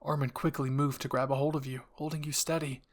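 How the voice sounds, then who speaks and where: A man's voice: medium pitch, flat delivery, brisk. A man reading scripted fan fiction as a narrator and channel host.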